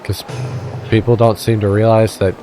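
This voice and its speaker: A man's voice: lowish voice, level tone, normal speed, music in the background. Rambling, self-deprecating Midwestern gamer man. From Midwestern United States. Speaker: let's play gamer. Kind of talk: casual gameplay commentary.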